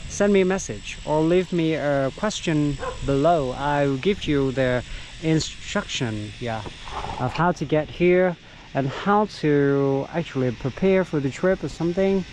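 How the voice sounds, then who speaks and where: Slow-talking man guiding an outdoor walk. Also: on the high side, darkish tone, a little hiss or hum, rough recording. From Vietnam. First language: Vietnamese. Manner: unhurried, halting, with frequent fillers. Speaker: local tour guide. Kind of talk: casual walking vlog narration. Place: outdoors on countryside trails.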